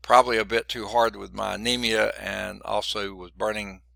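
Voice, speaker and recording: A man's voice: fairly low pitch, little pitch movement, moderate pace, creaky, sharp tone, dry recording. A middle-aged man teaching a college math class, chatty and digressive, sounding congested and often checking in with "okay?". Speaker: math instructor. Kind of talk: classroom lecture.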